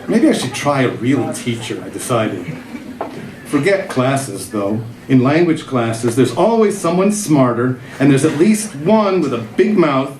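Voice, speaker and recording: A middle-aged man reads his own comic column at a literary festival with wry, self-deprecating timing and a voice of ordinary pitch. The sound bounces around a roomy venue. Faint ambient hum sits underneath. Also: darkish tone. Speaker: writer and former cartoonist. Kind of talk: reading his humorous column aloud at a live literary event. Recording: echoey room.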